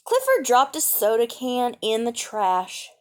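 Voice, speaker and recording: A woman reading aloud to children as a storytime teacher, gently explaining and asking questions along the way. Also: on the high side, wildly swooping pitch, measured pace.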